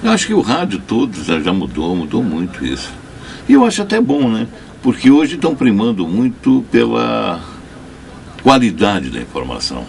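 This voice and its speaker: An older man with a very deep, gravelly voice speaking in an interview. His pitch swoops dramatically up and down, and faint noise sits beneath him. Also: huge pitch swings, moderate pace, darkish tone. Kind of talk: interview opinion.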